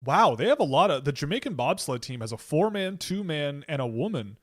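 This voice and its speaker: Man, young adult, livestream host, rambling, excitable, lots of restarts. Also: a little high, brisk. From Canada. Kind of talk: casual livestream chat.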